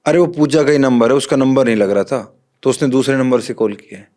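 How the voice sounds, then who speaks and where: A man's voice: mid-range voice, brisk, dark tone. A man speaking as a police officer guest in an interview, explaining things step by step with direct examples to the listener.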